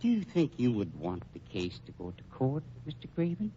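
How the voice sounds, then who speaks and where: An oily, scheming undertaker from an old radio mystery. His mellow voice swings widely, polite and mock-wounded, with hesitant pauses. The recording is dull and a little noisy. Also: mid-range voice, wildly swooping pitch. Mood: coolly pressing. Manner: silky, formal, theatrically hurt, with hesitant pauses. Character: unctuous, scheming male funeral director, mock-wounded and polite.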